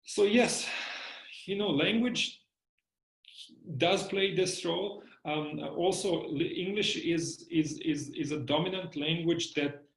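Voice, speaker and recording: A man's voice: on the high side, slow. Hesitant Bosnian-accented man, echoey room. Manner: hesitant, many fillers and repeated words. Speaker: lecturer. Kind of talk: reflective interview talk.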